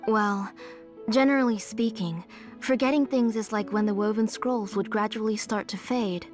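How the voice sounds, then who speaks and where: A woman playing a gentle, sorrowful, devoted mother in a dramatic video game scene. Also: medium pitch, moderate pace, faint music behind, natural voice. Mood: grieving, resigned.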